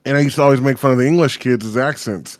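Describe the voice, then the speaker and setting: A man's voice: medium pitch, fast. A man chatting on a podcast in a small home studio, loose and rambling, dropping curses and fillers as he goes. His voice sits in a middle register and the sound is dry and close, with no room echo. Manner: rambling, profane, lots of fillers. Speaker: podcast co-host. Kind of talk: casual podcast chat.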